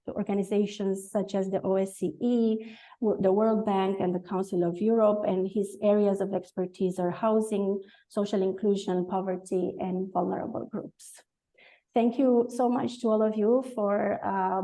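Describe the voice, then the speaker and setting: Quick-talking woman moderating a webinar. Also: medium pitch, mellow, rough recording. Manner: quick, with many "uh" and "um" fillers. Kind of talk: hosting an online webinar. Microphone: video call.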